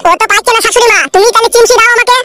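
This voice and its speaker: A woman's voice: very high voice, steady tone, very fast, pushed and bright, no room sound. A woman voicing an aggrieved, foul-mouthed young cartoon son-in-law who argues and complains sarcastically. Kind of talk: crude comedy cartoon dubbing.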